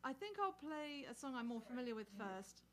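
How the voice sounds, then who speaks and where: A woman's voice: sits high, very animated, fairly quick, a bit bright. A middle-aged woman talks informally from a small indoor stage. She sounds conversational, introducing a song to a small audience in a live recording with room sound. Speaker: singer-songwriter. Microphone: microphone on a stand.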